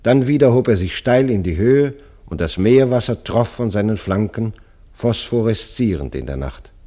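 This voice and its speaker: A man's voice: on the low side, lively intonation, moderate pace, mellow. A man narrating a literary radio play as a scripted story.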